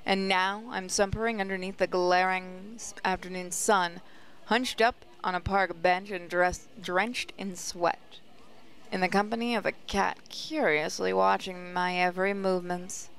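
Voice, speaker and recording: A woman's voice: on the low side, fairly even intonation, measured pace, a bit bright, clean tone. A woman reading visual novel narration aloud in a reflective, storytelling way. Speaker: gaming channel host, reader.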